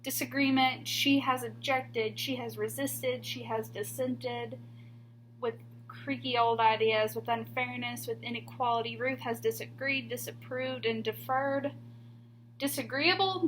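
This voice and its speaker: High-pitched woman reading a picture book aloud. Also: steady tone, unhurried, fairly bright tone, slight background noise, rough recording. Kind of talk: expressive children's picture book read-aloud.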